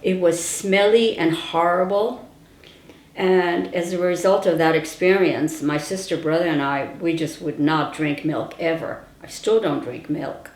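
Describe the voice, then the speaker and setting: A woman's voice: on the low side, unhurried. An older woman, recalling her childhood as a storyteller with wry asides. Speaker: interviewee. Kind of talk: personal oral-history reminiscence. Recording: roomy indoor echo.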